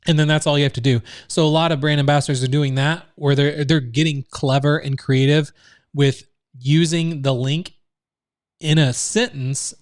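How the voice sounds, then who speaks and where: A man's voice: fairly high pitch, steady tone, moderate pace, no echo, clean studio sound. A man hosting a live online training, explaining steps in a loose, casual way full of fillers and restarts. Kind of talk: live screen-share training.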